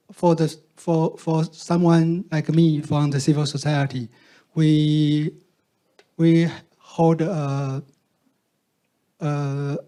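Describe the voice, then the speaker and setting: Slow, mellow man. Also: on the high side. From Taiwan. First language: Mandarin. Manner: halting, many fillers and restarts. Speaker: panelist from a fact-checking group. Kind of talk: panel discussion answer.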